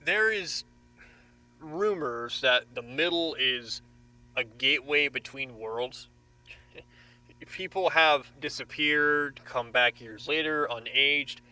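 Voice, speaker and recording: A man's voice: medium pitch, takes it slowly, pushed and bright, low-quality recording. An actor in an audio drama playing a frightened, defiant young man held captive, with an agitated, confrontational manner. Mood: subdued.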